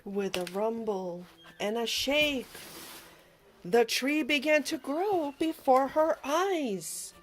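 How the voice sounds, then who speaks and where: Slow, high-voiced woman reading a storybook. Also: a little hiss or hum. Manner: expressive, dramatic storytelling emphasis. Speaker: storybook reader. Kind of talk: children's read-aloud narration. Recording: music behind the voice. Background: music.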